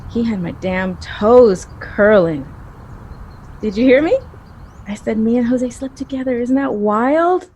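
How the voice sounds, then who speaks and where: A woman acting in an audio drama as a bold, flirtatious, gossipy best friend with a sassy, expressive conversational manner. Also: a little high, measured pace, slight background noise. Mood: giddy, gossipy.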